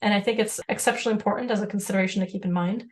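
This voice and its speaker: A woman co-hosts a casual podcast discussion. She talks quickly and at length, at a middling pitch, and laughs often as she goes. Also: steady tone. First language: Serbian. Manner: rapid, talkative, laughs often. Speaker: podcast co-host.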